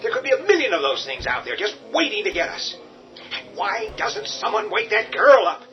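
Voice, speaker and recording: A whiny cowardly husband. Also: male voice, high-pitched, huge pitch swings, moderate pace. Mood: panicky. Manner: whiny, sarcastic, panicky. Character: cowardly whining middle-aged husband. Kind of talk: radio drama acting. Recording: dull lo-fi audio with music.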